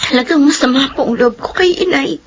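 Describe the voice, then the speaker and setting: A woman's voice: high-pitched, brisk, muffled recording, slight background noise. A woman playing a hurt, resentful, tearful teenage granddaughter in a Filipino radio drama. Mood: tearful resentment.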